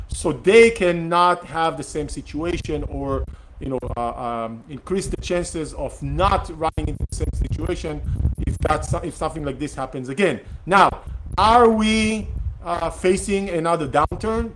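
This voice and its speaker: Middle-aged host, Hebrew first language; hesitant and filler-heavy, slightly high-pitched; faint noise floor. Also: male voice, rough recording. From Israel. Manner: hesitant, many fillers and restarts. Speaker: real estate investor hosting a Q&A session. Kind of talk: casual live-streamed talk.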